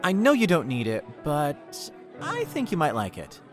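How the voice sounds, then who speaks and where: A man playing an earnest, soft-hearted young man in love, in an audio drama. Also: a little high, huge pitch swings, normal speed, music in the background. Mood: relieved, eager. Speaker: voice actor.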